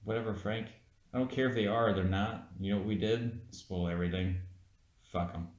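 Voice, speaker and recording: A man's voice: lowish voice, steady tone, brisk. A man co-hosting a casual movie podcast, talking bluntly and argumentatively and swearing freely. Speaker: podcast co-host. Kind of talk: casual movie review chat. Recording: echoey room.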